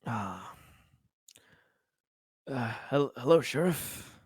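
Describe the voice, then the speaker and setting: A man playing an injured, weary, anxious hospital patient in a tabletop roleplay, speaking haltingly with sighs and hesitation. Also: mid-range voice, animated, a little mellow, breathy edge, no echo, clean studio sound, exaggerated voice. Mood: weary, polite.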